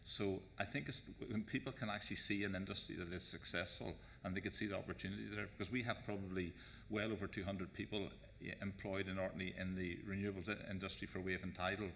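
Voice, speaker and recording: A man on a conference panel, talking quickly and haltingly with many restarts. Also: creaky, a bit bright. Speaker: panel speaker. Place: large conference hall. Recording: reverberant conference hall, faint rumble.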